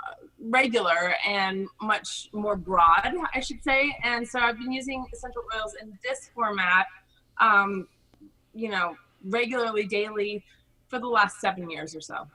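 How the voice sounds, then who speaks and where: A middle-aged American woman with a very bright, forward voice. She talks in a chatty, effusive way, sharing personal stories casually as an interview guest. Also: medium pitch, unhurried, rough recording. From Hawaii, United States. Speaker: podcast guest.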